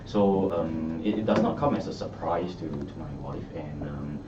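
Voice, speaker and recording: Low-voiced Singaporean man, hesitant. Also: normal speed. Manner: hesitant, many restarts and fillers. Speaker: election candidate. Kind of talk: press conference answers. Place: party office media room. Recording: echoey room, far mic, dull noisy sound.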